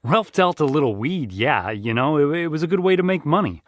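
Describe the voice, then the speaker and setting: A man's voice: a little high, animated, a little fast, natural voice. A man playing a loyal, embittered friend of an accused man, talking casually and plainly as if interviewed. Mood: indignant. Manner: casual, sweary, plain-spoken. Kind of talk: casual interview in an audio drama.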